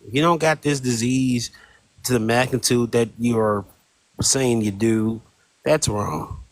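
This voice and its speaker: A man's voice: medium pitch, slow pace, no echo. A man in the moderator's seat, stumbling over his words and repeating himself as he politely defers to callers. His voice sits in an ordinary middle register and sounds dry and close, with no room around it. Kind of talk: live-stream call-in discussion.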